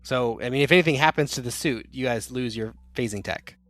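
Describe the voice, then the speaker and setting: A man's voice: mid-range voice, fairly quick, a bit bright, no echo. Man running a tabletop game. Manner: explaining rules, with restarts. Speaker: game master.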